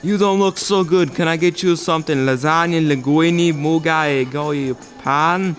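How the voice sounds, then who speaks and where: A man's voice: fairly high pitch, unhurried, a bit bright, soft background music. A young man playing a chatty, eager-to-please pizza parlor sidekick in a comic student radio play. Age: young adult. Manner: chatty, solicitous. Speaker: actor in a student audio play.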